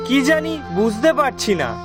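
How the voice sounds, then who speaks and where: Casting: male actor for a gentle-natured village vendor in a cartoon drama, very high pitch, earnest delivery. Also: animated, moderate pace, music in the background. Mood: worried. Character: good-natured, timid married male village food vendor. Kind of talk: animated family drama voice acting.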